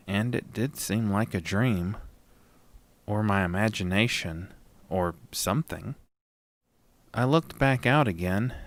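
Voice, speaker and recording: A man with a low voice narrates a horror audio story in the first person. His delivery is slow, measured and dramatic, and the recording is very dry. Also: no echo.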